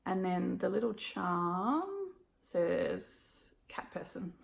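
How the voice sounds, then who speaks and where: An Australian woman with a croaky, hoarse voice, chatting casually through a stitching haul with lots of ums and little laughs. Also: on the low side, drawn-out words, echoey room. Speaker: cross-stitch vlogger. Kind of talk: casual show-and-tell recap.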